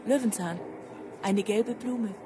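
A reflective storyteller in a radio drama, played by a woman. Her voice is medium in pitch, and she recounts memories in a measured way. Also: natural voice.

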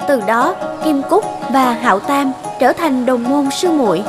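A woman delivers scripted fairy tale narration. Her voice sits high in pitch, and soft music plays faintly underneath the storytelling. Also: measured pace.

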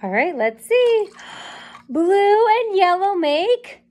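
A woman's voice: very high voice, big pitch swings, takes it slowly, darkish tone. A woman in her thirties reading a picture book aloud to young children in a sing-song, encouraging, question-asking way, recorded in a small room. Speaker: children's story time reader. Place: small indoor classroom or playroom.

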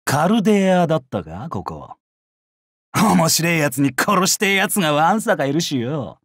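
An actor playing a brash, bloodthirsty young male samurai in a mobile game, with rough, swaggering speech. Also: fairly high pitch, sing-song, normal speed, a bit bright, no room sound, studio quality. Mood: menacing. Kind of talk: Japanese mobile game character voice lines.

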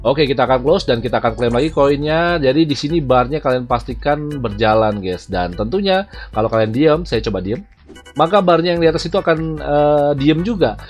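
A man's voice: mid-range voice, expressive, fairly quick. A man, chatting casually to viewers as he commentates on mobile gameplay. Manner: chatty, addresses viewers as "guys". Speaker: gaming YouTuber, host. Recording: music behind the voice. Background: music.

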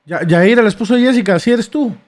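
A man's voice: high voice, brisk. A young man with a Mexican accent chatting casually in a slangy, stammering way, on a close microphone. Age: young adult. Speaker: prank-call streamer. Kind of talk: casual prank call banter. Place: small streaming room indoors. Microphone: close boom-arm microphone.

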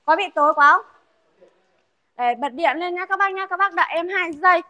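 A young saleswoman talks viewers through a used car in a casual, chatty way, circling back over the same points again and again. Her voice sits high and sounds bright and clear, recorded dry and close with no room echo. She comes across as a friendly showroom seller giving a tour. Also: fairly quick. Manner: chatty, repeats herself, addresses viewers as "các bác".

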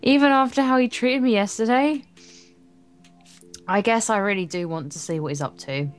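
A woman's voice: a little high, normal speed, soft background music, voice pushed up, natural voice. A woman voicing a bitter, lonely teenage schoolgirl from a dating game, guarded and dramatic in her inner monologue. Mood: wondering. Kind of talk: visual novel inner monologue read aloud.

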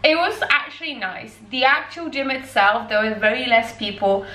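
A woman's voice: fairly high pitch, lively intonation, moderate pace, sharp tone. A young woman in her twenties chatting casually to the camera at home, giggling and rambling with lots of fillers. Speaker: vlogger. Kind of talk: casual vlog chat to camera. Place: home kitchen and living room. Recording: roomy indoor echo.

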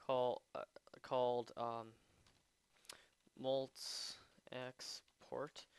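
Clear-voiced man casually walking through software. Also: medium pitch, dry recording. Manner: hesitant, thinking aloud while demonstrating. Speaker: tutorial presenter. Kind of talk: casual unscripted software tutorial.

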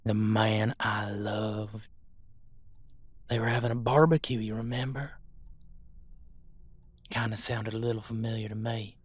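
A vengeful hunter played by a man taunts his prey in a slow drawl. The voice is husky and menacing, dry and close, in the manner of an audio drama. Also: fairly low pitch, little pitch movement, no room sound. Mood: bitter. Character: vengeful male monster hunter, taunting, grief-driven drawler. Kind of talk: audio roleplay acting.

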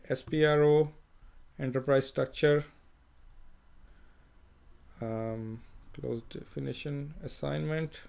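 A man walking through a screen-recorded software tutorial, plainly explaining each step with fillers and self-corrections. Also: medium pitch. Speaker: software trainer.

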